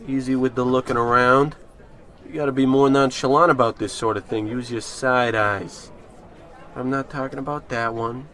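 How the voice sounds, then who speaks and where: A man's voice: mid-range voice, fairly even intonation, moderate pace, a bit bright, lightly acted. A man playing a cocky, scheming barfly pal in an old-style radio comedy, wisecracking in period slang. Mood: cocky, bickering. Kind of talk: 1940s radio acting.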